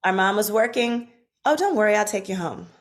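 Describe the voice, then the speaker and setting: A woman guest on a podcast from Washington, D.C., telling childhood stories in a relaxed, animated way with lots of fillers. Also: medium pitch, a little fast. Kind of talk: casual conversation.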